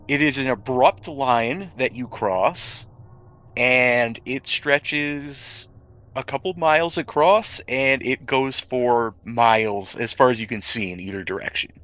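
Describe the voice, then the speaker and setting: A man narrating a tabletop roleplaying game as game master, describing scenes conversationally with dramatic pauses. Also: mid-range voice, sharp tone.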